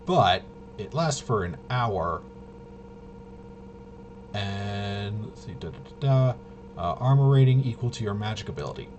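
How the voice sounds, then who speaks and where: A man casually narrating tabletop roleplaying gameplay as game master, haltingly thinking aloud and muttering to himself as he goes. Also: on the low side, lively intonation, darkish tone, soft background music.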